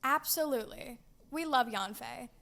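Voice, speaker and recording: A woman's voice: high voice, moderate pace, vocal fry, a bit bright. A young woman hosting a casual podcast, chatting about a video game in a playful, gushing, enthusiastic way. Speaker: podcast host.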